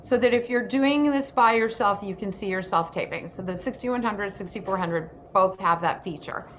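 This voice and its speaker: A woman's voice: mid-range voice, moderate pace, a bit bright. Woman chatting informally over noticeable background noise. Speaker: host and photographer. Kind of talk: casual workshop presentation. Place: indoor room.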